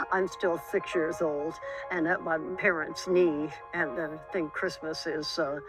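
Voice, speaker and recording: A man giving reflective, unhurried interview remarks. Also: fairly high pitch, fairly even intonation, poor audio. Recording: loud music under the voice. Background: music.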